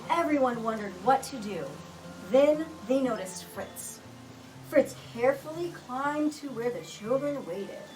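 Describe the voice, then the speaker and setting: A woman reading a children's picture book aloud with expressive, deliberate storytelling delivery. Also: high voice. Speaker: storybook reader. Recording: roomy echo, distant mic, music behind.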